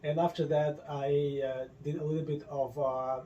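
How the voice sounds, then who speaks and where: A man's voice: on the high side, flat delivery, normal speed, mellow, dull audio, a little hiss or hum. A young man from Russia with a Russian accent, a guest in a casual podcast chat at his home, hesitant and searching for words. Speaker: guest, model.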